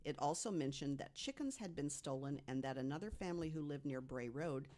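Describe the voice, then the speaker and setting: Podcast panelist, medium pitch, true-story narration, reading from notes, self-correcting. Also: expressive, a little fast, a little mellow. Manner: reads from notes, corrects slips.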